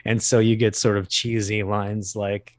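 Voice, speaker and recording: A man's voice: on the low side, flat delivery, measured pace, no echo. A man speaking as an interview guest, explaining his voice acting craft in a hesitant, rambling way with wry asides. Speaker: guest, voice actor.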